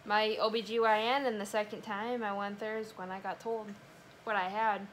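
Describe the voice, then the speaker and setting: A woman's voice: medium pitch, level tone, moderate pace, a bit bright, slight background noise, poor audio. A young woman telling a personal story in a casual vlog chat. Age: young adult. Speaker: vlogger, new mother.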